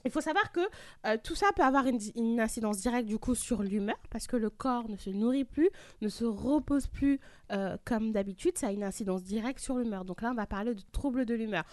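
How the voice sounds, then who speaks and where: High-voiced woman explaining step by step. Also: brisk, dry recording. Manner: didactic, walks through definitions with examples. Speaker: host. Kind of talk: explaining to a panel.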